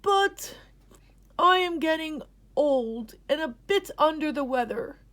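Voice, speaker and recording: A woman voicing an elderly grandfather groundhog in a children's storybook, a proud, folksy announcer proclaiming slowly and loudly. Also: sits high, lively intonation, pitched above the performer's own voice, heavily acted. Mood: grand, announcing. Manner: slow, loud, proclaiming. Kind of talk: children's storybook read-aloud.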